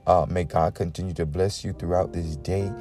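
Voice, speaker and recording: Low, mellow man leading prayer. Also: fairly even intonation, moderate pace, faint music behind. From the Bahamas. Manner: earnest, punctuated with "amen" and repeated invocations. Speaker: preacher leading a morning prayer. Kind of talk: devotional talk and extemporaneous prayer.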